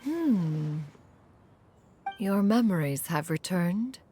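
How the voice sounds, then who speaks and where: A woman's voice: on the low side, animated, very slow, over music. A woman voicing a dignified, ancient crane immortal with formal, archaic speech in a video game. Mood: grave, sympathetic. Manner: formal, archaic, speaks of herself as "one".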